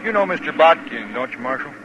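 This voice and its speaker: An actor playing an evasive, smug outlaw suspect in a western radio drama, with a needling manner. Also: male voice, medium pitch, expressive, fast, fairly bright tone, dull audio, lightly acted. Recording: noisy old radio recording.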